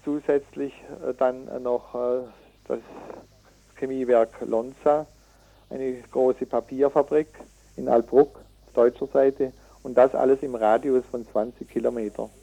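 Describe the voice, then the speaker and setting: Mellow-voiced man, halting and indignant. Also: mid-range voice, steady tone, creaky, low-quality recording. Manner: halting, with many hesitations and self-corrections. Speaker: activist interviewee from a citizens' environmental initiative. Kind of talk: radio interview, reading out figures and arguing.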